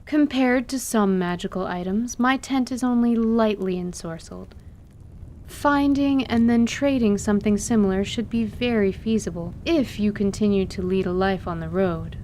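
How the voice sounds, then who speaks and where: Woman as composed, formal half-elf scholar, medium pitch. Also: normal speed, darkish tone, slight background noise, natural voice. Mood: reassuring, informative. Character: courteous, learned half-elf woman, a tent owner. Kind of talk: audio drama acting of a tabletop campaign.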